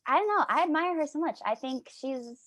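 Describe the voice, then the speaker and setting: A woman's voice: high voice, animated, fairly quick, a bit bright, dry recording. A woman, an audio drama actress, talking informally in a casual group chat.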